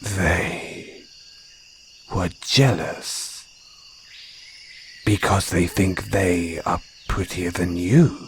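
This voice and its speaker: A man acting a territorial male naga in an intimate audio roleplay, speaking in a hushed, deliberate, breathy voice with long pauses. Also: low-pitched, slight background noise. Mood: flirtatious, coaxing. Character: wary, territorial male naga, softening into flirtatious care. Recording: jungle insect ambience behind voice. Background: crickets and jungle ambience.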